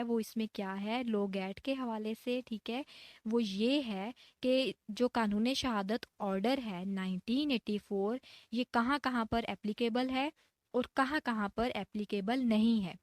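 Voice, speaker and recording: Pakistani lady teaching law for exams, voice a bit high, keeps repeating points and checking in, no room echo. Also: a little slow. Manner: repetitive, emphatic teaching with frequent check-in tags. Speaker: law lecturer. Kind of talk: explanatory exam-prep lecture, mixing in English legal terms.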